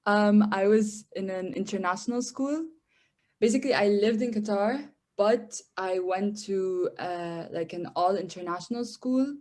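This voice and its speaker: A woman's voice: medium pitch, unhurried, darkish tone. A young woman from Syria, whose first language is Arabic, speaking as a podcast guest with a hesitant manner, often repeating words. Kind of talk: podcast interview.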